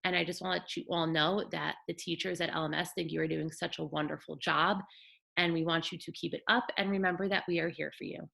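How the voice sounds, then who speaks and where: A woman teacher reading a children's bedtime story aloud in an expressive, deliberate storytelling manner. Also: low-pitched, gravelly.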